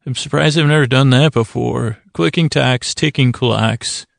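A man hosting a bedtime podcast in a halting, meandering improvised monologue, whimsical and apologetic, with a gravelly creak in his voice. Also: medium pitch, dry recording, studio quality. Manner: meandering, halting, trails off into tangents and self-corrections. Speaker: podcast host.

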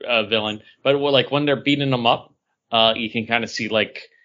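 Male podcast co-host, bright forceful voice, casual comics chat, slightly lo-fi sound. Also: medium pitch, steady tone, a little fast. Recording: dull lo-fi sound.

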